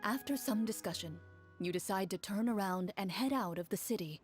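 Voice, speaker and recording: An actress playing a calm, serious young swordswoman who narrates as game master in a composed, measured way. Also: medium pitch, natural voice. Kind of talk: video game voice acting. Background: game music.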